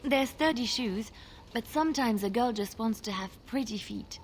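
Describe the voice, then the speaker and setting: A woman playing a girlish, wistful young companion in a fantasy video game, with an expressive delivery. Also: on the high side, normal speed, a little hiss or hum, lightly acted. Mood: dreamy, enthusiastic. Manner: dreamy, expressive.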